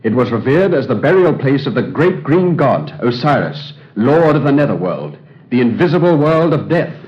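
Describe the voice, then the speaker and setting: An actor playing an anxious, hesitant young archaeologist in a 1930s radio drama. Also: male voice, mid-range voice, very animated, moderate pace, natural voice. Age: young adult. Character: haunted young male archaeologist, nervous. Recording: dull 1930s radio recording.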